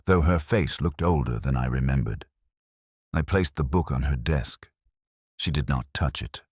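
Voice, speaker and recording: A man reading a scripted first-person detective story in a measured, reflective, literary manner. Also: extremely low pitch, a little mellow, no room sound, studio quality. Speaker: narrator.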